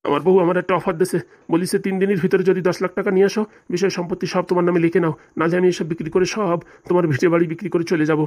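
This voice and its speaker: Fast-talking, pleading old cartoon uncle played by a woman. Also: on the low side, flat delivery, darkish tone, lightly acted. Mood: aggrieved, resigned. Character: elderly, sorrowful, apologetic uncle begging his nephew's forgiveness. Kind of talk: comic cartoon dialogue.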